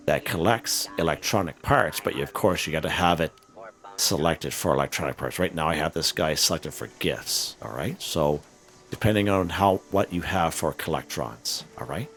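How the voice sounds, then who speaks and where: A man with an extremely deep, hoarse voice, as if he is losing it, talks through a game in a loose, chatty way. He leans on fillers and keeps checking in with "okay?" Faint music runs underneath his commentary. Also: expressive, normal speed, fairly bright tone. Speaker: gaming channel host. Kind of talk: casual gameplay walkthrough commentary.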